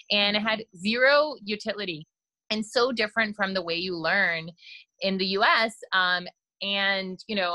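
Host type: bright, lowish woman, dry close sound, chatty and reflective. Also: no room sound, rough recording. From India. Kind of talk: casual panel chat.

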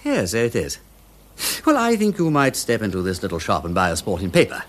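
Jaunty, swooping upper-class English gentleman detective. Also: fairly low pitch, huge pitch swings, fairly quick, a little hiss or hum. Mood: brisk, cheerful. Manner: breezy, flowery phrasing, drawling rhetorical asides. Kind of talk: radio drama acting.

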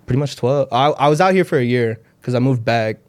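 A young man who grew up partly in Ohio, a guest on a podcast, telling stories in a relaxed, joking way. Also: mid-range voice, no room sound. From Los Angeles, raised in Ohio. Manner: relaxed, joking, drawn-out storytelling. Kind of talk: casual podcast chat.

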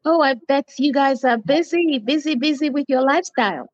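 A woman in her forties hosting an interview show, friendly and halting with many fillers. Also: sits high, no room sound, highs cut off. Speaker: podcast host.

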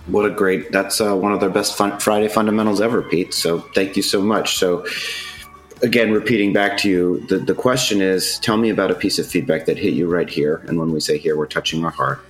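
A male podcast host with a low voice speaks in an upbeat, friendly way. He recaps and closes the show over faint music. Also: fairly even intonation, a little fast, rough recording. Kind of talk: podcast interview.

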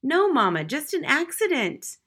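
A woman's voice: high voice, big pitch swings, a little slow, fairly bright tone, pitched above the performer's own voice, exaggerated voice. A woman voicing an anxious grown son, straining to be heard. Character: worried grown son, pleading over the noise.

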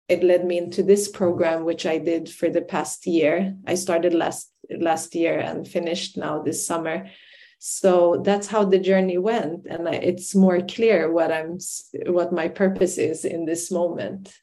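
Slow, mellow-voiced woman guest. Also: on the low side, flat delivery. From Sweden. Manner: halting, many fillers and restarts. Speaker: podcast guest. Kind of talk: reflective interview talk.

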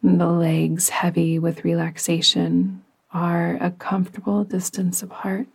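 A woman guiding a relaxation meditation in a soft, breathy, partly whispery voice with a soothing, gentle, unhurried manner. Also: fairly low pitch, little pitch movement. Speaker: yoga nidra meditation guide.

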